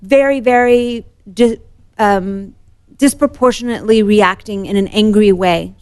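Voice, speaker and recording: A woman from Morocco who is a guest on a podcast, chatting casually and telling stories in a cheerful way with frequent laughter. Her pitch sits in the middle range for a woman. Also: measured pace, smooth. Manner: warm, cheerful storyteller, laughs often. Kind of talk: casual interview chat.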